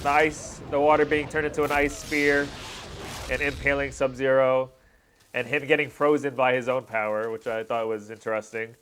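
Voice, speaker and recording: A man's voice: medium pitch, steady tone, moderate pace, a bit bright, slight background noise. A man hosting a reaction video, giving casual, slangy fan commentary on fight scenes. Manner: informal slangy fan talk.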